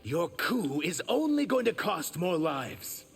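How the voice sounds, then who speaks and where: An actor playing an earnest, wounded young reptilian shapeshifter warrior in a video game, speaking with guarded defiance. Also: male voice, high voice, sing-song, takes it slowly, vocal fry, soft background music, full character voice. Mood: earnest, reproachful. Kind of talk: video game fight dialogue.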